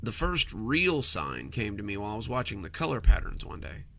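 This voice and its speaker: A man's voice: fairly low pitch, very animated, a little fast, gravelly, fairly bright tone, slight background noise. A man reading a scripted first-person horror story in a conversational storytelling manner.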